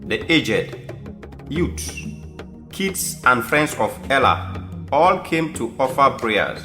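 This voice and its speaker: A man narrates a story in a Sierra Leonean accent, reading from a script. His tone is bright and forceful. The room is clearly echoey, with soft music underneath. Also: mid-range voice, sing-song, slow pace.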